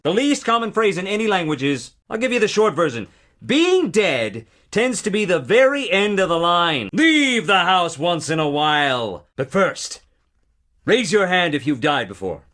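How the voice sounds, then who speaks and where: A flamboyant, wry stage trickster, high and bright for a male voice. He delivers wordy comic speeches with hammy, swooping emphasis, in a theatrical game style. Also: sing-song, normal speed. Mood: wry, grandiose.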